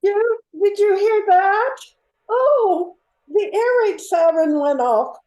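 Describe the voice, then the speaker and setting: An older woman playing a jittery small-town mayor in an amateur radio play, flustered and halting. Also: very high voice, animated, a little mellow, echoey room, exaggerated voice, rough recording. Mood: alarmed. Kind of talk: amateur old-time radio play acting.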